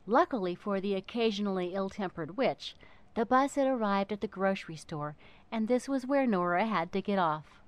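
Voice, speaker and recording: A woman reads a children's story aloud with a very lively, swooping pitch. Her delivery is theatrical, leaning on key words and pausing for drama. Her voice sits at a medium height for a woman. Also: no echo. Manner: theatrical, dramatic emphasis and pauses. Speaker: narrator reading a children's book. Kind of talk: expressive storybook narration.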